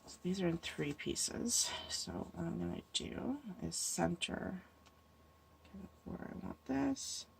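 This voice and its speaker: A woman's voice: lowish voice, big pitch swings, slow, gravelly, a little hiss or hum. Stuffy woman musing over her craft table. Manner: thinking aloud, musing and hesitant. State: stuffy from a cold. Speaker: card-making crafter. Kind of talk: casual crafting tutorial talk.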